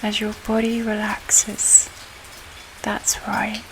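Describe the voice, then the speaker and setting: A woman leads a guided meditation very slowly, leaving long gaps between short phrases. Her voice is hushed and soothing, staying on a narrow, even pitch. Steady rain and thunder run clearly behind her. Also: slight background noise. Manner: soothing, hushed and breathy delivery with long pauses. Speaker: hypnotherapist guiding a meditation. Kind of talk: guided relaxation hypnosis, ASMR-like. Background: rain and thunder soundscape.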